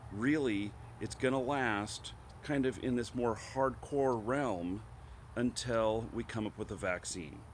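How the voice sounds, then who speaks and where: A man with a congested voice from a head cold, hosting an online show with a casual opinion monologue, halting and emphatic as he thinks aloud. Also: lowish voice, a little hiss or hum. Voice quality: slightly stuffed-up, congested. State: has a head cold, clearing throat.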